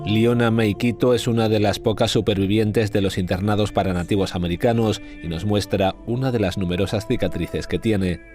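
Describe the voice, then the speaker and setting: A man reading scripted news narration as a reporter, in a measured, even delivery. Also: lowish voice. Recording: voice-over with clear music behind.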